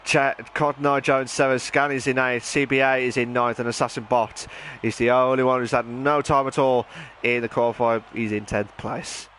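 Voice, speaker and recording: A man hosting and commentating an online racing league, his voice hoarse and nearly gone, talking in an excitable, chatty way. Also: mid-range voice, normal speed, a little hiss or hum. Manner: excitable, shouting during qualifying, then calm chatty standings rundown. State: hoarse, lost voice. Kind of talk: live esports race commentary.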